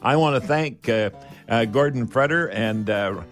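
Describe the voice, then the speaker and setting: A man's voice: medium pitch, unhurried, faint music behind. An older Canadian man, sixty or over, hosting a home improvement show in a chatty, folksy, rambling way with catchphrases.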